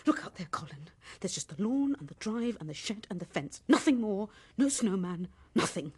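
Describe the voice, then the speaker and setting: An actress playing an anxious, fretful British housewife and mother in a radio drama, speaking in agitated, halting phrases that drop into whispers. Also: medium pitch, big pitch swings, husky. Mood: exasperated.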